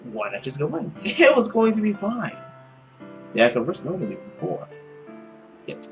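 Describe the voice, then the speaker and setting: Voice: mid-range voice, highs cut off. A playful, theatrical narrator reading a story aloud, stumbling now and then and dropping in joking asides. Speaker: let's play narrator reading a visual novel.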